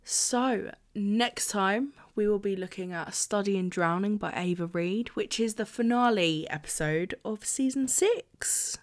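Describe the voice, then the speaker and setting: A woman's voice: mid-range voice, measured pace, hardly any pauses. A woman co-hosting a book podcast, chatting casually and laughing often. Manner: chatty, laughs often, reads blurbs aloud. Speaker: podcast co-host.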